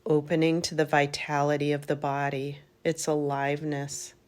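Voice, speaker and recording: A woman leading a guided meditation in a calm, gentle manner, in unhurried phrases with long pauses. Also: sits low. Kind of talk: guided yoga nidra meditation.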